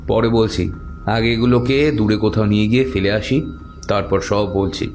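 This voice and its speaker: A man acting a calm, authoritative tantric holy man in a horror audio drama, giving instructions. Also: lowish voice, moderate pace, slight background noise, natural voice. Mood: calm, instructing.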